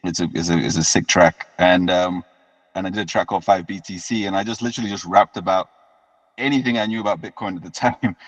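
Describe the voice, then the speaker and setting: A man, telling his story as an interview guest in a relaxed way and often repeating words as he restarts. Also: on the low side. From United Kingdom. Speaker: guest musician. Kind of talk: casual interview chat. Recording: dull lo-fi sound with long reverb.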